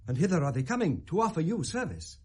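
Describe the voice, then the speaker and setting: An actor playing a deferential young courtier in a classical radio drama, courteous and formally theatrical. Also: male voice, on the high side, very animated, quick pace, a little mellow, slightly rough. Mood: courteous, amused. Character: deferential, smooth-talking young male courtier.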